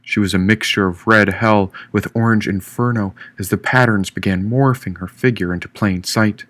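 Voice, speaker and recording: A man reading first-person fiction as an audiobook narrator, in an expressive, dramatic storytelling manner. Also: on the low side, moderate pace. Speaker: author reading own audiobook. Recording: echoey room, distant mic.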